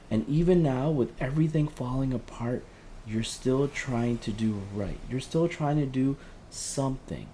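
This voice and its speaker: A man's voice: medium pitch, warm tone, slight background noise. A man acting an empathetic, insistent helpline counsellor in an audio drama, pleading reassuringly and often ending on little tag questions. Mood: urgent, encouraging. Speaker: helpline host.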